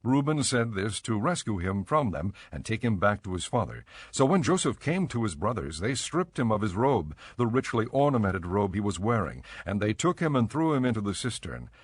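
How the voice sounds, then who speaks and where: A man narrates scripture from a script. His voice sits fairly low, and the studio sound is dry and close, with no room around it. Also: very animated, moderate pace, few pauses, husky, no room sound.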